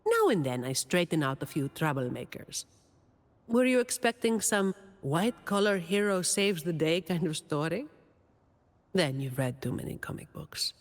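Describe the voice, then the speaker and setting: A woman playing a weary, dutiful young office employee in a video game, delivering scripted monologue in a dry, deadpan way. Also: on the low side, moderate pace, big-room sound. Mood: dry, matter-of-fact.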